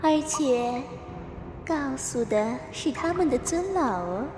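An actress playing a cruel, gloating younger sister in a Chinese costume audio drama, mocking in a drawn-out, sing-song way. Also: female voice, high-pitched, lively intonation, background noise. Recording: long echoing reverb, music underneath.

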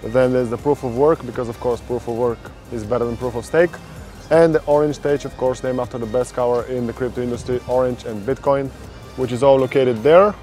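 A man's voice: mid-range voice, steady tone, brisk, a little mellow, faint music behind. A man hosting a casual walkthrough of a conference venue outdoors, chatty and upbeat. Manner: upbeat, chatty tour-guide delivery. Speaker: conference reporter and host.

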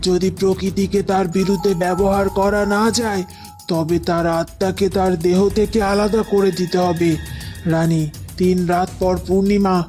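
A woman's voice: on the low side, darkish tone, natural voice. Woman playing an ominous, scheming cartoon sorceress. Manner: ominous, dramatic pronouncements. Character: sinister female occult sorceress, scheming and grave. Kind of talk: cartoon fairy-tale voice acting.

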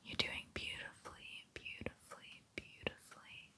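A young woman in a soothing ASMR roleplay, speaking close to the mic in a breathy, unvoiced whisper and softly repeating words. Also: moderate pace. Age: young adult. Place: small bedroom, indoors. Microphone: close to the mic, facing camera.

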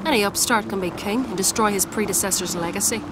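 A stately, ambitious queen from a fantasy video game, voiced by an actress. She speaks sternly at a middling pitch, with clear background noise. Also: quick pace, close to an everyday voice. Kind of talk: video game dialogue acting.

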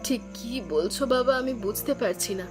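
A woman's voice: on the high side, a little fast, a little mellow, close to an everyday voice. A woman playing a kind, long-suffering village aunt in a moral-tale cartoon, with a plaintive, dignified delivery. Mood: puzzled. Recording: prominent music behind the voice.

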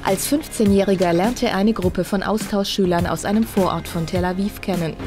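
A woman reporter reading a scripted news voice-over in a measured, even way. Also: medium pitch, music in the background.

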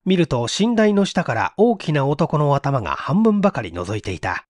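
A man's voice: fairly high pitch, big pitch swings, normal speed, a little mellow, dry recording. A man narrating a mystery story from a script in a theatrical, suspenseful manner. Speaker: audiobook narrator, stage actor.

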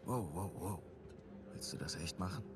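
A man's voice: low-pitched, moderate pace, lightly acted. A man voicing a worried, sarcastic thief. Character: nervous adult male thief, the older brother. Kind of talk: video game dub dialogue. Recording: game music behind.

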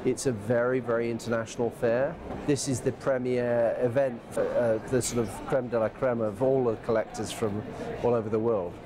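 A man's voice: mid-range voice, steady tone, normal speed, noisy background. A man in his fifties, a gallery owner, talking enthusiastically in an interview at an art fair. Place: art fair hall, indoors.

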